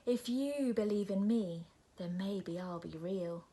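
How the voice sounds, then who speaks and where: A woman voicing a calm, friendly, wise tiger who speaks gently. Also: medium pitch, slow.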